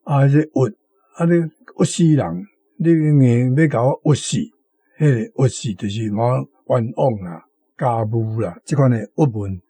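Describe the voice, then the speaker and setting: Male radio commentator, Taiwanese accent: didactic, mellow, slow, word-by-word explanations, dry sound. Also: medium pitch, breathy edge, dry recording. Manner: didactic, repeats key words slowly. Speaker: guest commentator on a radio programme. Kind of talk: explanatory talk on words and meanings.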